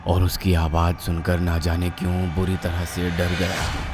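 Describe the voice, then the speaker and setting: A man's voice: low voice, monotone, measured pace. A man narrating a scripted horror story in a dramatic storytelling style. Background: music.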